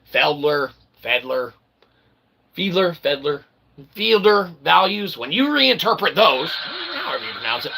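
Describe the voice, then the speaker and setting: A man with a raspy, gravelly voice giving an improvised philosophical monologue in wordy, pseudo-formal phrasing, often checking in with short tag words. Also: fairly high pitch, big pitch swings, measured pace, sharp tone, slight background noise, rough recording. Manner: rambling, wordy pseudo-formal phrasing with frequent "okay" and "all right" check-ins. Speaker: independent online philosophy presenter.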